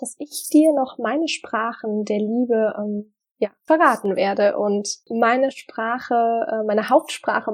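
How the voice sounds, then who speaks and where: A woman with a slightly high voice talks warmly and directly to her audience about relationships. She is earnest and reflective and often stops on little fillers before she goes on. It sounds like a casual video diary. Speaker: vlogger giving relationship advice. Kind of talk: casual direct-to-camera advice talk.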